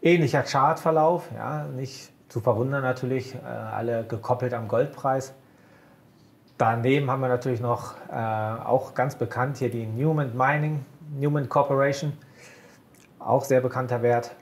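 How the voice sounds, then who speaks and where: A man co-hosting a casual market chat, calmly walking through stock charts point by point. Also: medium pitch, normal speed. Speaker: co-host of a broker's market show.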